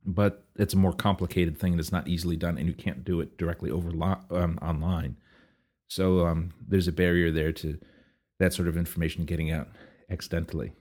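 Deep, husky-voiced man chatting. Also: steady tone, brisk, darkish tone. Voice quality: scratchy, husky. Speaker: podcast host. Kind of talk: casual solo podcast chat. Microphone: podcast microphone.

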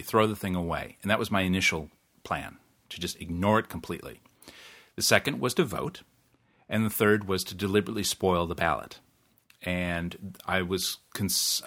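A man's voice: low-pitched, a bit bright. A man hosting a podcast, talking casually with restarts and wry asides. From New Zealand. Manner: chatty, frequent restarts and self-corrections, wry laughs. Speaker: podcast host. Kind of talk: casual solo podcast monologue.

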